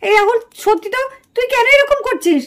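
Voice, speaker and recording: A woman's voice: very high voice, animated, a little fast, a bit bright. A woman voicing an affectionate, chatty elder sister doll in a puppet drama. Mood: mild reproach.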